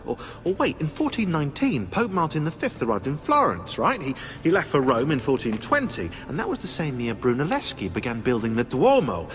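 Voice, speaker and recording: Animated, fussy man. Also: a little high. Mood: musing. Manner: halting, pedantic, animated. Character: fussy, sarcastic British history researcher. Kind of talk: video game voice acting. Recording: echoey hall, music behind.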